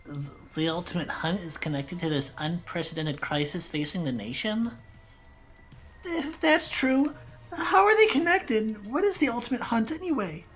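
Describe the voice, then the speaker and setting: Performer as an animated, incredulous anime student. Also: mid-range voice, normal speed, natural voice. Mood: puzzled. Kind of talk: amateur anime fandub acting.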